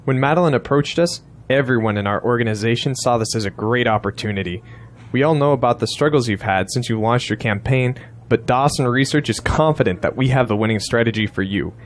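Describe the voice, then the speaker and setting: An actor playing a confident, persuasive campaign strategist, courteous yet blunt, in an audio drama. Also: male voice, mid-range voice, fairly quick, a little hiss or hum, lightly acted.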